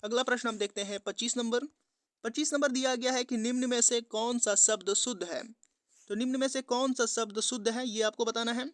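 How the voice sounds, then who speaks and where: High, clear-voiced man teaching a quiz. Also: brisk, fairly bright tone, dry recording, clean studio sound. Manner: methodical, repetitive instructional delivery. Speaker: exam-prep teacher. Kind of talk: quiz-style lesson narration, reading questions and giving answers.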